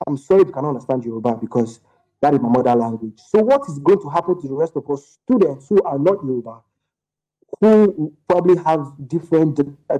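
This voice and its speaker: A man's voice: a little high, warm tone, dull audio. A young man, arguing his point emphatically as a panel guest over a remote call, full of rhetorical questions. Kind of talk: opinionated panel discussion.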